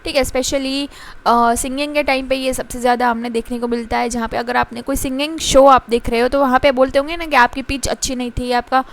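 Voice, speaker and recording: A woman teaching a commerce class in Hindi, reading definitions slowly and pausing often with fillers. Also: high voice, steady tone. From India. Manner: explains in Hindi, reads definitions slowly in English, frequent fillers and ठीक है. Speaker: commerce lecturer. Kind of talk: classroom lecture.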